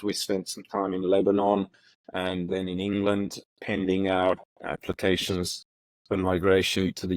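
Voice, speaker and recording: A middle-aged man from Ethiopia, telling his story as an interview guest in a halting way, with many fillers and repeated words. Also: deep voice, darkish tone, dry recording, low-quality recording. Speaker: interview guest, retired prosecutor. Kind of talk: relaxed reflective storytelling.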